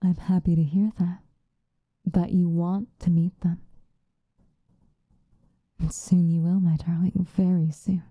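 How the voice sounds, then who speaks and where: A woman playing a possessive, obsessive female vampire lover in an ASMR roleplay, sinister yet tender, intimate and breathy, often slipping into whispers. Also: on the low side, flat delivery, no room sound, lo-fi sound. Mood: adoring, possessive. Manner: intimate, breathy, drawn-out seductive delivery, often dropping into whispers.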